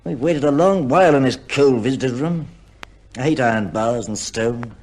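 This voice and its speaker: An actor in an old-time radio drama playing a weary, irritable defence lawyer with a sardonic, formal manner. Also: male voice, medium pitch, moderate pace, a little mellow, lightly acted. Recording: noisy old radio recording.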